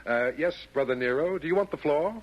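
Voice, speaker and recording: An actor playing a wry, pompous Devil chairing a meeting, in theatrical 1940s radio comedy style. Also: male voice, fairly high pitch, brisk, natural voice. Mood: wry, courteous. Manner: theatrical, formal rhyming speech with mock hesitation. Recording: old 1940s radio broadcast, slightly muffled.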